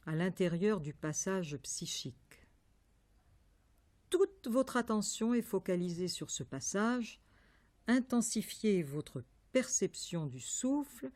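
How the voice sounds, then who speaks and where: A woman leading a guided yoga meditation, giving calm, measured instructions with pauses between phrases. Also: medium pitch, no echo. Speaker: yoga nidra meditation teacher.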